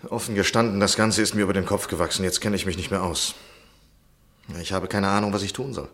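A gentleman journalist in a vintage radio drama. His voice is very deep, and he speaks as an obsessed, frustrated man justifying himself in theatrical, literary phrases. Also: steady tone, fast. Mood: exasperated, helpless. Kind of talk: 1960s German radio play acting.

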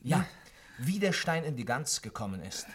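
An actor playing a dry, wry companion to a detective in a 1950s radio drama. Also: male voice, mid-range voice, sing-song, normal speed, husky.

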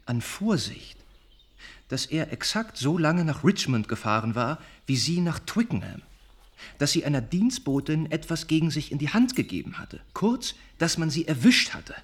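An actor playing an earnest, wounded young gentleman who confides haltingly and reflectively in a radio drama. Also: on the high side, big pitch swings, slightly rough. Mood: indignant, dismayed.

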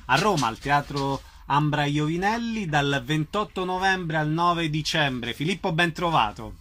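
A man's voice: a little high, lively intonation, a little slow, bright, forceful tone, slight background noise. A man hosting a radio interview, announcing shows and asking short questions.

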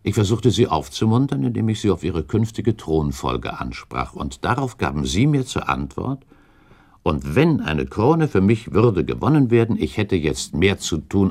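Deep, creaky-voiced gentleman accuser. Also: exaggerated voice. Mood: grave, musing. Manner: formal, deliberate, insistent questioning. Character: probing gentleman accuser, shrewd, composed, relentless cross-examiner. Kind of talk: German radio play acting, formal period dialogue.

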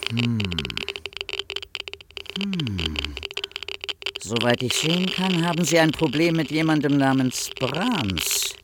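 A man's voice: fairly high pitch, expressive, takes it slowly, long pauses, heavy background noise. A man playing an odd, self-assured hotel detective in a satirical radio drama, matter-of-fact about absurd claims. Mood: probing.

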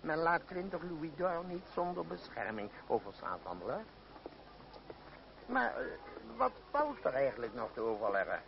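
A wily, pompous troupe boss cajoles in the manner of an old radio drama. His pitch swings freely between oily courtesy and scheming pressure. The recording is dull, with clear hiss and noise. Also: very animated, moderate pace. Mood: smooth, threatening. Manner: pompous, unctuous, hemming and hawing. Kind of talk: old Dutch radio play acting.

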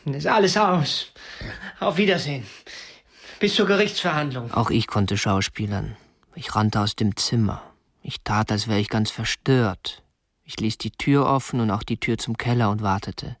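A man's voice: mid-range voice, expressive, unhurried, fairly bright tone, no echo, clean studio sound. An actor playing a meek, stiff kidnapper in his twenties in a radio drama, obsessive and self-justifying, in a plain, subdued manner. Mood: worried, agitated. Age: 20s. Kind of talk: radio drama acting, confessional first-person narration.

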